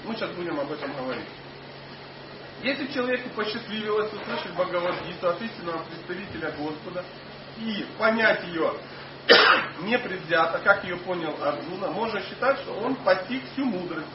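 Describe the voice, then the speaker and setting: A man with a foreign accent, not a native speaker, lecturing an audience on scripture in a loose, halting way with many fillers and restarts. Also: high-pitched. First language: not Russian. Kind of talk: informal religious lecture to an audience. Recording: echoey hall, mic at a distance, dull sound, noisy.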